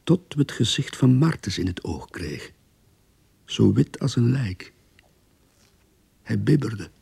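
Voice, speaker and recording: An actor playing a wry man narrating his own crime story in a radio drama, with a husky voice. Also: fairly low pitch, big pitch swings, measured pace, darkish tone, no echo, full character voice. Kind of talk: radio drama acting with first-person narration.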